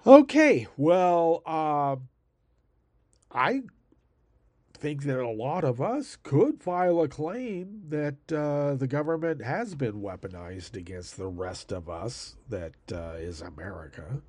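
A man's voice: fairly high pitch, lively intonation, a little mellow, dry recording. Stuffed-up man hosting a show. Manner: rambling, halting with many fillers. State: stuffed up from allergies. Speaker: podcast host. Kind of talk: casual political commentary.

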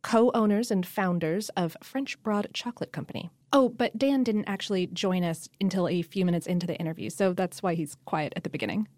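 A woman's voice: on the low side, brisk, few pauses, darkish tone, no room sound, studio quality. A woman co-hosting a podcast, chatting casually in a playful, agreeable manner. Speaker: podcast co-host. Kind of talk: casual chat.

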